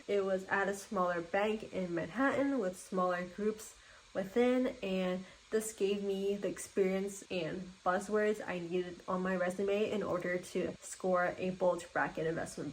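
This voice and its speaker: A woman's voice: medium pitch, a little hiss or hum, poor audio. A young woman in her twenties, a native Mandarin speaker, walking viewers through her resume in an upbeat, orderly vlog. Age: 20s. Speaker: vlogger.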